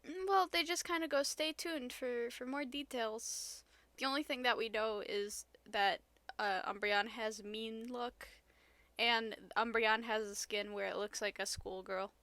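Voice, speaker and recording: High voiced girl cohosting a podcast, playful n a bit dramatic, really dry close sound. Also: steady tone, unhurried, pushed and bright, no room sound. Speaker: podcast co-host. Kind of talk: casual banter.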